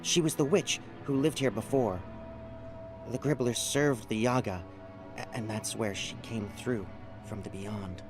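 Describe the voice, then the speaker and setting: Male actor as small magical guide, medium-pitched male voice, cautious, earnest, video game, music behind. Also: unhurried, lightly acted. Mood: cautious, ominous.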